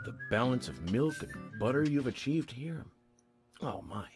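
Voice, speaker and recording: Eccentric, effusive federal agent for a video game, highly animated, fussy delivery. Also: male voice, measured pace, music in the background. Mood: delighted, fussy. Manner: effusive, particular.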